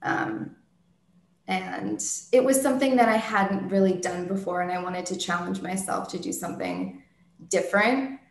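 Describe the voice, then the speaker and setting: A woman, a singer-songwriter, answering interview questions in a soft soprano voice, thoughtful, with many ums and restarts. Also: mid-range voice, very animated, normal speed, roomy sound.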